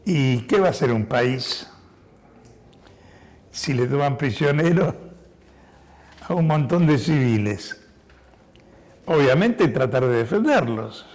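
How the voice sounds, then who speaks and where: A hoarse man in his sixties, born in Spain, giving a war veteran's testimony to an audience, explaining things carefully with many hesitations. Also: mid-range voice, lively intonation, slow, pauses often, darkish tone.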